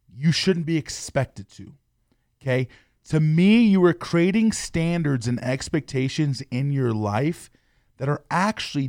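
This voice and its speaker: A man's voice: mid-range voice, a little mellow, no room sound. Earnest man with a dry close sound. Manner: earnest, encouraging, addresses listeners as y'all. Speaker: podcast host. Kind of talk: motivational podcast talk.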